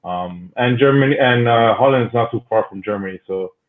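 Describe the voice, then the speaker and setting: A man's voice: medium pitch, brisk. A man whose first language is Spanish, talking in an easygoing way as a guest in a casual podcast interview. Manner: talkative, easygoing. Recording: tinny phone-like line.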